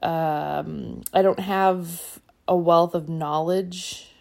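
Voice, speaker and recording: A woman speaking calmly and evenly into her phone, reflective and trailing off at times. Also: lowish voice, very slow, vocal fry. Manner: calm, even-keeled, trails off. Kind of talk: reflective personal monologue.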